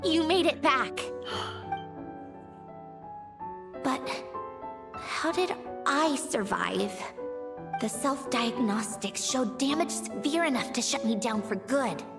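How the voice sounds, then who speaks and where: A woman playing a weary, sardonic, damaged puppet scientist in a video game, speaking in dry, clinical, halting phrases. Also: high voice, lively intonation, music in the background, exaggerated voice. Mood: shaken, wondering. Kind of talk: dramatic video game voice acting.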